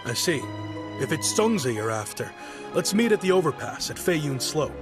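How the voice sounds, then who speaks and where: Measured, wistful merchant over music. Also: male voice, a little high, sing-song. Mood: friendly, reflective. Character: male shopkeeper, successful, wistful, mild-mannered businessman. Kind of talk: video game character dialogue. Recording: music behind the voice.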